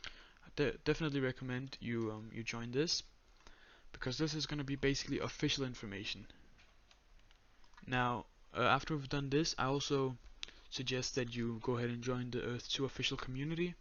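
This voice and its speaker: A young man with a Danish accent giving a step-by-step screen-recorded tutorial in a flat, monotone voice full of "uh"s and "um"s. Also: mid-range voice, fairly quick, highs cut off. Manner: monotone, many fillers. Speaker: tutorial creator.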